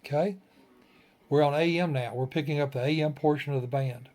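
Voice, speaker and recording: A man's voice: a little high, brisk, a little mellow. Folksy man giving an informal how-to. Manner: folksy, plain-spoken, short phrases. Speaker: ham radio tutorial host. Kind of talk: informal step-by-step demonstration.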